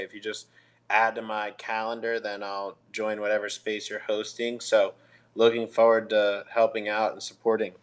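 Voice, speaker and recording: Flat-toned male podcast host. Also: on the low side. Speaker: radio show and podcast host. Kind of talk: casual live audio show hosting.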